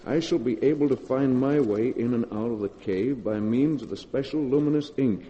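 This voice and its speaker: A male actor plays a tense, guilty man caught in a cave in a 1940s radio horror drama. His voice is very dark and rounded, with urgent, theatrical delivery. The old recording is muffled and carries a long, cavernous reverb. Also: mid-range voice, moderate pace, slight background noise, lightly acted. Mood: grave reading.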